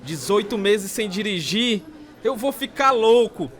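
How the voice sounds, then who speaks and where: A man's voice: high-pitched, expressive, unhurried. A man acting as a volatile, embittered heavy drinker who tells his own story in a dramatised radio narration. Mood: angry, defiant. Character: bitter, volatile hard-drinking husband telling his story. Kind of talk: dramatised first-person scripted narration. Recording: loud guitar music behind the voice.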